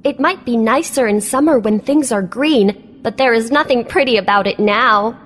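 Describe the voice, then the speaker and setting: A woman voicing a lonely, sour young girl, curious and blunt, in an animated children's story. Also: a little high, moderate pace, soft background music, natural voice. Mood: disdainful.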